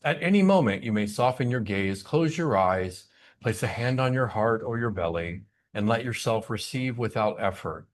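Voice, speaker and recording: A man leading an online spiritual service, speaking in a gentle, inviting and personable way as he guides listeners. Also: fairly low pitch, lively intonation, moderate pace.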